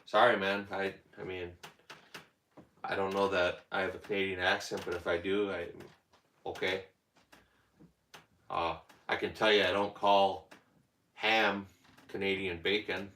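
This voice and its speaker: A man's voice: low-pitched, little pitch movement, a little slow, long pauses, bright, forceful tone, echoey room, a little hiss or hum. A man hosting a casual livestream, rambling and answering viewers' comments as they come in. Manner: rambling, talks back to chat comments. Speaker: livestream host.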